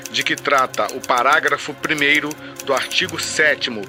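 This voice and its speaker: A man's voice: medium pitch, fairly even intonation, moderate pace, over music, lightly acted. A man playing a cold official reading a decree formally in a radio drama, with a Brazilian accent.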